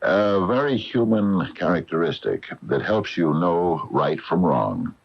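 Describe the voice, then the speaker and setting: An actor playing a grave, principled former scientist in a radio mystery drama, solemn and deliberate, heard on an old AM radio recording. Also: male voice, sits low, sing-song, creaky, husky, heavily acted. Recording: dull lo-fi 1980 AM radio.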